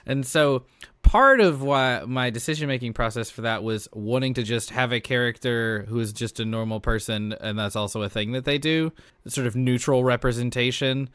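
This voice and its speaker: Male podcast co-host, mid-pitched and clear, dry sound, candid, relaxed wording and conversational delivery. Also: normal speed, dry recording. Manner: candid, informal, freely sweary. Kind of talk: casual podcast discussion.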